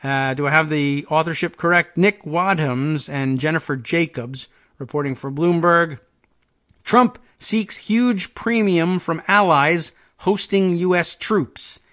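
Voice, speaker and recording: Male talk-show host, slightly higher voice, reads headlines and adds dry asides, halting delivery with frequent ums. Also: a bit bright. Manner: halting, lots of ums and restarts, wry asides. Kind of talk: casual news commentary, reading headlines aloud.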